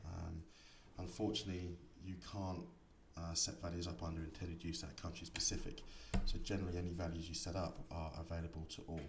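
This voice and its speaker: A man giving a software tutorial, explaining each setting step by step in an instructor's manner. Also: sits low, level tone, brisk, few pauses. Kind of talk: screen-recorded tutorial explanation. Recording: echoey room, distant mic, faint noise.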